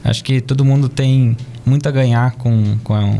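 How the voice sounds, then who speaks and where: Mellow-voiced man from Israel, earnest. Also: mid-range voice, steady tone, normal speed, slight background noise. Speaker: podcast guest. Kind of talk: casual interview chat. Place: studio.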